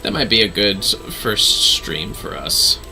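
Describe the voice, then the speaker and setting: A man's voice: fairly low pitch, animated, takes it slowly, gravelly, sharp tone, soft background music, rough recording. A man casually chatting as he streams a fantasy online game, musing playfully to himself. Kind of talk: casual gameplay livestream chat.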